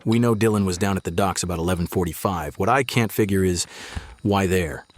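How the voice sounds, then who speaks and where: A man playing a tired middle-aged policeman in an audio drama, fatherly and conversational while quietly probing. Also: low voice, brisk, no echo, lightly acted. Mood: calm, probing. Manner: casual, conversational, subtly probing. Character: tired gray-haired cop, fatherly yet quietly dangerous.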